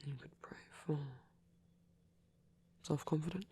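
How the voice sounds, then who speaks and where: A performer playing a gentle, caring male vampire priest in an intimate ASMR roleplay, speaking in a breathy whisper with a measured, slightly formal manner. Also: medium pitch, fairly even intonation. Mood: earnest, soothing.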